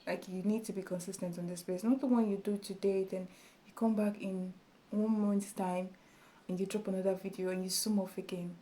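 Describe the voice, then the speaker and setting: A woman with a small, soft voice talking casually to her camera as a YouTube tutorial creator, chatty and encouraging with many fillers. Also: mid-range voice, flat delivery, brisk. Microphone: camera in front of her.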